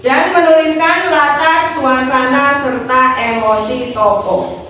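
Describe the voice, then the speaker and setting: A woman schoolteacher with an Indonesian accent and a fairly high voice gives classroom instructions step by step. She often checks understanding with short questions. The recording is very echoey, picked up at a distance from the microphone. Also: slow, bright, forceful tone, a little hiss or hum.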